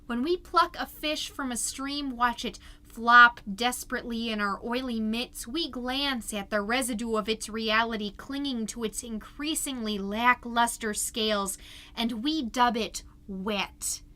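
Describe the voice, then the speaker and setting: A woman's voice: a little high, measured pace, pushed and bright. A woman playing a chirpy, gushing suburban PTA mom who hosts a podcast, delivering a comedic monologue in a theatrical, folksy and emphatic way. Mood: solemn, earnest reading. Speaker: podcast host.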